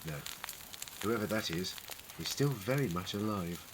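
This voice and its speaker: A man acting as an eccentric, dignified male time-traveller in an audio drama. He is wry and wordy, with lively swoops in pitch and clear noisy ambience behind him. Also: unhurried. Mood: measured, curious. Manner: wry, formal, wordy, gently authoritative. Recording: prominent crackling ambience noise.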